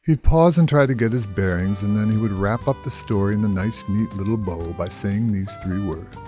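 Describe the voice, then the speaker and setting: A man's voice: fairly low pitch, normal speed. A man narrating a scripted first-person story. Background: music.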